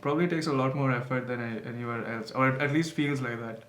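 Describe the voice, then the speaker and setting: A man hosting a podcast, talking in a reflective, measured way with pauses. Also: mid-range voice. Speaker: podcast host. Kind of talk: casual podcast conversation. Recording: roomy echo, faint noise.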